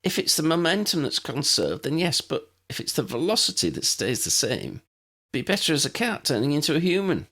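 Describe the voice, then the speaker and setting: A man's voice: on the high side, big pitch swings, a little fast, fairly bright tone, pitched above the performer's own voice, heavily acted. A man voicing a timid, anxious, brainy young woman with a faltering, fearful delivery. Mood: shaky reasoning.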